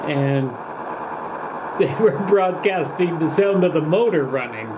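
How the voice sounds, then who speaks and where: A man's voice: on the high side, animated, measured pace. A man in his sixties talks casually and nostalgically, musing like a hobbyist sharing memories. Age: 60s. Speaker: shortwave radio hobbyist. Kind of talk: casual reminiscing monologue. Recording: loud radio static and hum. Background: shortwave radio noise and droning signals.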